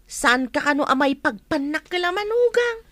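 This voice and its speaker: A woman acting as a gossiping village neighbour with a very high voice, recorded dry and close. She chats, exclaims and pries in the manner of an Ilocano radio comedy. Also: sing-song, moderate pace, pushed and bright, dry recording, heavily acted. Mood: gossipy. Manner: chatty, exclaiming, probing.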